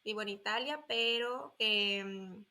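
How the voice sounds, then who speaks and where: A woman's voice: mid-range voice, slow, pushed and bright. A woman in her twenties from Colombia, a guest on a podcast, talking casually and often searching for her words. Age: 20s. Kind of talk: casual interview talk.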